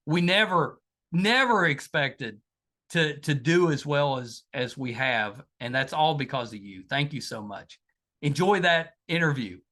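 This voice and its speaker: A man's voice: a little high, animated, unhurried, pushed and bright. An older man hosting a podcast, talking effusively and repeating his thanks and phrases. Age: older, grandfather. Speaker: podcast host. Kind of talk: podcast host talk and interview.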